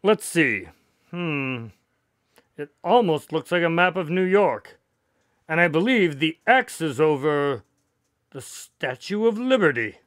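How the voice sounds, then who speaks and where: Man acting detective's doctor sidekick in radio play, highish lively voice, practical, proper, a bit exasperated. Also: takes it slowly, long pauses, no echo, studio quality, heavily acted. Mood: curious, exasperated.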